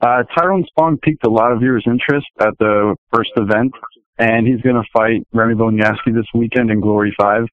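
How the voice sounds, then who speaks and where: A man's voice: medium pitch, fairly even intonation, fairly quick, dry recording. A man asking questions as a reporter on a press conference call, heard over a telephone. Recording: narrow, tinny phone line.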